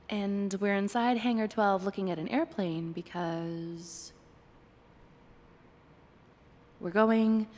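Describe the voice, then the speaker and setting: A woman's voice: medium pitch, a little mellow, long reverb tail, slight background noise, close to an everyday voice. A woman playing a flirty, playfully sarcastic date companion in a one-sided ASMR roleplay, with dry, hesitant asides. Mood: stunned, bemused.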